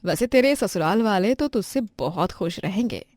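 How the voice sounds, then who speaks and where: A woman voicing a middle-aged mother who speaks softly and teases her daughter. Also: medium pitch, animated, normal speed, dry recording, natural voice. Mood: fond.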